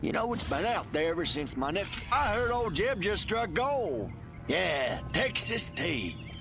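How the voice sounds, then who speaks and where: An actor playing a rambling rustic townsman in a video game, with a creaky, folksy delivery. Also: high voice, very animated, unhurried, music in the background, heavily acted. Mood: folksy, gossipy.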